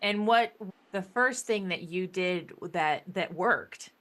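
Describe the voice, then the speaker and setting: A woman hosting a podcast interview, enthusiastic and a little halting as she asks her questions. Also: on the low side, fairly bright tone. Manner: enthusiastic, stumbling over questions. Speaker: podcast host.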